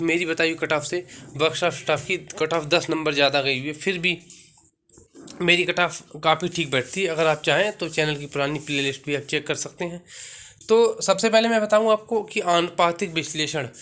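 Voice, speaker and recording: Casting: male coaching presenter, fairly high pitch, sharp bright tone, instructive and informal. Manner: didactic, checks in with "theek hai". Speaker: exam-coaching video host. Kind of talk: informal explainer of exam cut-off figures.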